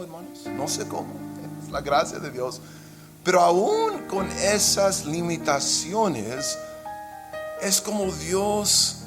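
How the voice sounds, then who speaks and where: A man preaching a sermon in Spanish, though English is his first language, with impassioned delivery and occasional slips into English. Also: sits high. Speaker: pastor.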